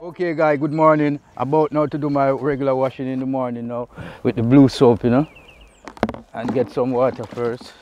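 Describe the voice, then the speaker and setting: A man's voice: mid-range voice, level tone, moderate pace, darkish tone, lo-fi sound, faint music behind. A middle-aged man with a Jamaican accent, casually explaining a task outdoors by a river and saying "you know" a lot. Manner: easygoing, repeats "you know" often. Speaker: vlogger. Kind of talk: casual how-to vlog.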